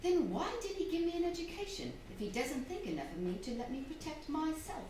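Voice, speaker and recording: An actress playing an educated, strong-willed young wife, genteel and emphatic, in a staged radio drama. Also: female voice, sits high, sing-song, a little fast, far from the mic. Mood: indignant, confiding. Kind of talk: staged old-fashioned radio drama acting. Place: theatre hall, distant microphone. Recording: echoey, noisy stage recording from afar.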